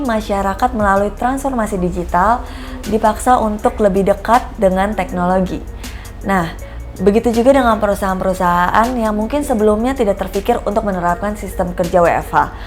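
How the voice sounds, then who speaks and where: A woman presenting an explainer segment, reading her script in an upbeat tone. Her voice sits at an ordinary middle pitch. Faint music plays underneath her. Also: darkish tone. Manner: upbeat, mixes English phrases. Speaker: host.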